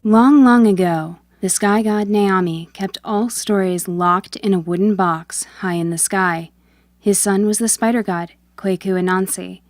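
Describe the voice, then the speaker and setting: A woman hosting and narrating a scripted folktale in a clear, measured storytelling manner. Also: medium pitch, dry recording, studio quality. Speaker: host and narrator.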